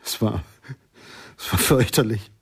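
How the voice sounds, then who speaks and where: Ein Schauspieler spielt in einem Hörspiel einen trockenen Anekdotenerzähler mit belegter Stimme. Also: male voice, fairly low pitch, exaggerated voice. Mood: wry. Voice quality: husky. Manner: deliberate, repetitive storytelling. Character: wry male witness telling anecdotes. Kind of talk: radio drama storytelling.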